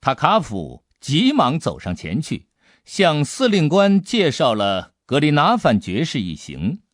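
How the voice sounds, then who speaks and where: A man's voice: a little high, wildly swooping pitch, unhurried, dry recording, clean studio sound. A man reading an adventure novel aloud as a scripted audiobook narration.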